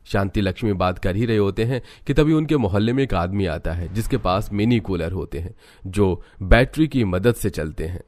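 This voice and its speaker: A man's voice: on the low side, expressive, moderate pace, a little mellow, no echo. A man narrating a scripted cartoon family story.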